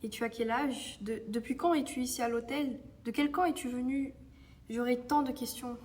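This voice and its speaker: A woman's voice: on the high side, fairly even intonation, moderate pace, a little mellow, far from the mic, lightly acted. A female performer playing a chatty, apologetic thirteen-year-old girl survivor in a French audio drama. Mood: shy, curious.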